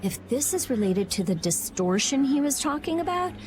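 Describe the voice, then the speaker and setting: A female actor voicing a blunt, brainy teenage girl researcher in a video game, brisk and insistent. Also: sits high, sing-song, a little mellow, slight background noise. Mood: pondering. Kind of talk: English video game voice acting.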